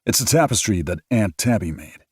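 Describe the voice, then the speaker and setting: A man voicing a teasing, protective adult man in a close family, in a raised, louder voice than his narration. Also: fairly low pitch, animated, a little fast, slightly rough, dry recording, voice pushed up. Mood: friendly.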